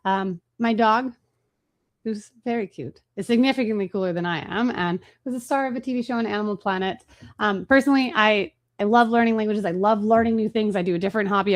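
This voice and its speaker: A woman hosting a marketing webinar, talking fast and casually with fillers and restarts. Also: mid-range voice. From Chicago, USA. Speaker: webinar host.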